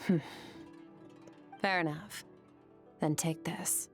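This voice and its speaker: A woman's voice: on the low side, steady tone, takes it slowly, over music. A woman playing an aloof, stoic young warrior in a video game, speaking in a terse, matter-of-fact way. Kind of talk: video game character acting.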